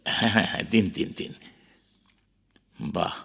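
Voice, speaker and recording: A man's voice: normal speed, pushed and bright, lightly acted. A man acting a modest, courteous poet in a Bengali audio drama, speaking politely with a slightly hesitant, reflective manner. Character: modest, courteous established male poet, somewhat awkward. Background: clear background music.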